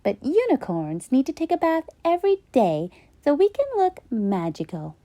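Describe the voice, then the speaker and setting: A woman's voice: high voice, huge pitch swings, moderate pace, warm tone, dry recording. A woman voicing a neat, fussy, dainty female unicorn in a children's storybook. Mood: disgusted. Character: neat, prissy female unicorn, proud of beauty. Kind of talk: children's storybook character acting.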